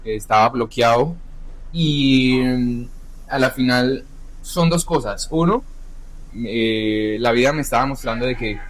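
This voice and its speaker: A young adult man, a chef, talking candidly about his life in a halting, self-correcting way. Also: mid-range voice, poor audio. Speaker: chef, podcast guest. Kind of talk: candid personal conversation.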